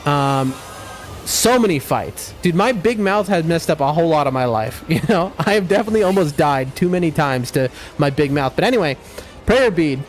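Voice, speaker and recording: A young man streaming a game, shouting during fights and rambling to his chat between them. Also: fairly high pitch, normal speed, soft background music. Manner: excitable, loud outbursts during fights; rambling, many fillers and restarts when chatting. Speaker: gaming streamer. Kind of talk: live gameplay commentary and chat talk.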